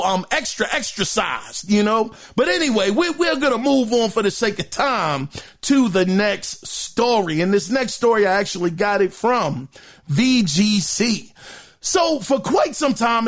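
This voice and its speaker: Hoarse high-voiced male podcast host. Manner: chatty, addresses listeners as guys, many fillers. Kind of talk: casual news commentary.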